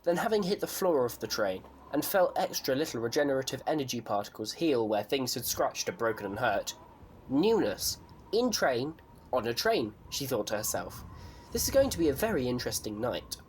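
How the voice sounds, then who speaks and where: A man's voice: mid-range voice, very animated, moderate pace, slight background noise. A man narrating a scripted story for an audio drama in a wry, playful storytelling manner.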